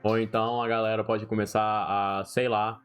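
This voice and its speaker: A man's voice: on the low side, level tone, normal speed, smooth, faint music behind. A young man with a Brazilian Portuguese accent, a streamer giving rambling, profane, slangy gaming commentary.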